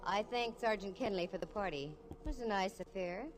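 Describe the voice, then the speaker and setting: A woman's voice: mid-range voice, a little fast, over loud music, lightly acted. An actress as a wry female radio detective. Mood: narrating. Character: wisecracking female private detective narrator. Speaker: detective narrator. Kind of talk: 1950s radio drama acting.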